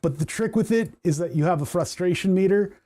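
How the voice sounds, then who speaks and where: A man hosting a casual coding livestream, rambling and chatty with frequent laughs, his voice starting to go hoarse. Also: sits high, a little fast, mellow. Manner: rambling, chatty, laughs often, reads chat aloud. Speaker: livestream host.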